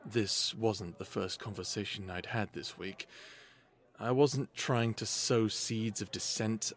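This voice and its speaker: An earnest male idealist in an audio drama. He speaks very slowly at a middling pitch, halting as he searches for words, yet stays persuasive. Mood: reflective. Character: earnest, idealistic young man, former captive urging peaceful change. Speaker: lead character and narrator. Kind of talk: audio drama acting with first-person narration.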